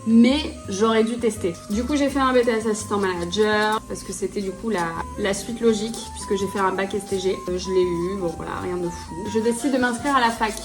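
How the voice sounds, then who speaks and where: A young woman, a native English speaker, tells her life story in a relaxed, chatty, slangy vlog manner. Her voice sits at an ordinary middle pitch. Clear music plays behind her. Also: brisk, few pauses. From born in France, raised in London. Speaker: vlogger. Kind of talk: casual storytime while doing her nails.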